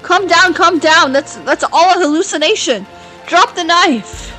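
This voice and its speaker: A woman's voice: very high voice, animated, over music, low-quality recording. A woman doing panicked pleading clinic staff. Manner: pleading, rushed.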